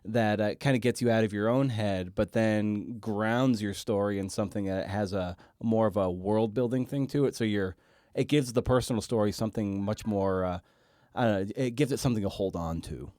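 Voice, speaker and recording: Man, slightly low voice, casual podcast interviewer, halting phrasing, very dry close recording. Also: a little mellow, no echo. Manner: halting, circles toward his questions.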